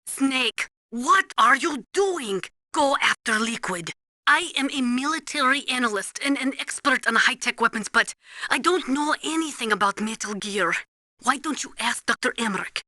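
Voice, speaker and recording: An actress playing a serious military weapons analyst in a video game, speaking in a precise, matter-of-fact way. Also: female voice, sits high, expressive, moderate pace, pushed and bright, no room sound, full character voice. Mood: urgent, concerned.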